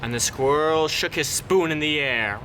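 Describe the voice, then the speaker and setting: A man's voice: fairly high pitch, normal speed, background noise. A man reading a children's picture book aloud in a theatrical way, drawing words out dramatically. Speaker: storyteller.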